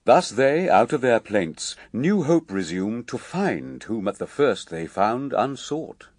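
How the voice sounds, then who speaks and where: A man's voice: medium pitch, sing-song, slow, darkish tone, no room sound. A man playing a solemn poet who narrates a sacred epic in grave, declamatory verse for radio drama. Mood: solemn, tender.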